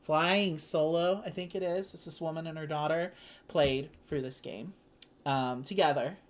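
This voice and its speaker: A board game host talks through the rules in a medium-pitched voice. The delivery is casual and chatty, full of informal, enthusiastic asides. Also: fairly even intonation, unhurried.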